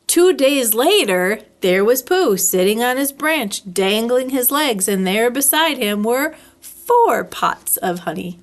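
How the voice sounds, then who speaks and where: A woman's voice: a little high, animated, slow, hardly any pauses. A woman reading a children's story aloud as a storyteller, expressively, drawing out words for effect. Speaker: library storyteller.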